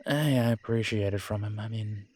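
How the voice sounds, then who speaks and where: A man's voice: on the low side, normal speed, darkish tone, natural voice. A man playing an easygoing werewolf biker in an audio roleplay, casual and joking in manner. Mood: relaxed, wry. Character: easygoing, teasing male werewolf biker with corny humour. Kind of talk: scripted audio roleplay drama.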